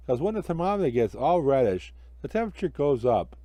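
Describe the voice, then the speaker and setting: A man's voice: mid-range voice, sing-song, a little fast, warm tone, no room sound, natural voice. A man voicing a naive, cheerful talking snowman with an eager, innocent manner in a children's story. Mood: earnest. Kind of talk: amateur children's storybook reading.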